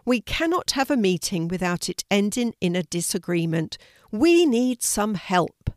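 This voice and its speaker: A woman's voice: medium pitch, big pitch swings, measured pace, no echo, studio quality. A woman voicing an earnest male snake who chairs animal meetings, speaking in an orderly, appealing way. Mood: exasperated.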